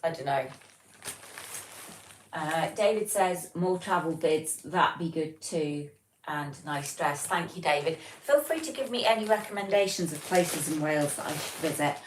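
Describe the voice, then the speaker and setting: British female streamer, low register, informal and chatty, recorded at a distance with background noise. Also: animated, unhurried. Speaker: livestream host. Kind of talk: casual livestream chat, reading out viewer comments. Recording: roomy, distant mic, noticeable background noise.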